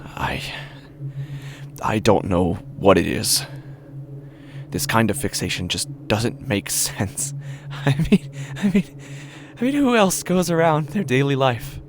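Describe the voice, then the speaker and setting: A man playing an anxious, obsessive apartment dweller in a horror audio drama monologue, with a gravelly, often breathy voice and intense, deliberate delivery. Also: animated, slight background noise. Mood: resentful, agitated, then frantic, anxious. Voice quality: low, gravelly, often breathy, dropping to whispers. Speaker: horror fiction narrator.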